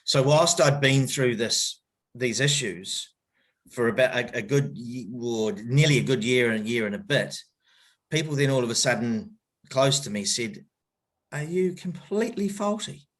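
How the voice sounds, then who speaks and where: A man's voice: mid-range voice, expressive, measured pace, a bit bright. A middle-aged man with a New Zealand accent, telling his story in a podcast interview, hesitant and full of fillers and restarts but open and reflective. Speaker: guest, cricket coach.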